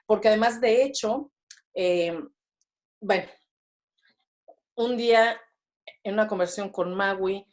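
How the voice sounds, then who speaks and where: A Mexican woman in her thirties talks as a guest on a podcast. Her voice sits at a middle pitch. She chats freely and keeps looping back with fillers and quick tag questions. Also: a little mellow. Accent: Mexican Spanish. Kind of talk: casual interview conversation.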